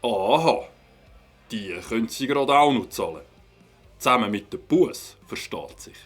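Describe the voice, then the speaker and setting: An actor playing a firm, methodical police captain in a children's audio drama, speaking formally with a Swiss German accent. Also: male voice, medium pitch, lively intonation, measured pace, husky, faint music behind. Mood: triumphant, stern. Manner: formal, authoritative.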